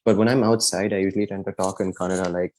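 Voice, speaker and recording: A man's voice: deep voice, steady tone, fast talker, darkish tone. A young man from India, a podcast guest chatting casually with many fillers and restarts. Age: young adult. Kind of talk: casual chat.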